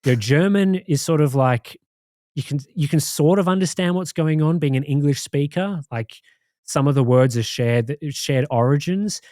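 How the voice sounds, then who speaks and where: An Australian man co-hosting a podcast, recounting things in a rambling, relaxed way with many fillers. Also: a little high, moderate pace, dry recording, studio quality. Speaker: podcast co-host. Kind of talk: casual chat.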